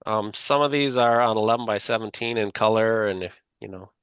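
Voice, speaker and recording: A man's voice: fairly low pitch, brisk, a bit bright, smooth, no echo. Male consultant, clean resonant voice, informal meeting talk, dry and dull recording.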